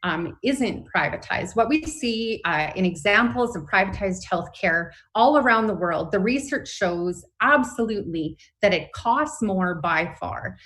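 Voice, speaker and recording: Woman, Canadian accent, medium pitch, interview guest, earnest, explanatory, emphatic. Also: a bit bright. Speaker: nurses' union representative, interview guest. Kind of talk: spoken interview, advocacy.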